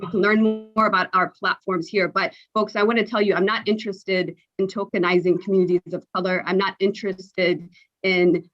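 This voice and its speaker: A woman from Somalia giving a short campaign speech as a council candidate, steady and earnest. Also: mid-range voice, a little fast, muffled recording, faint music behind. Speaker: political candidate.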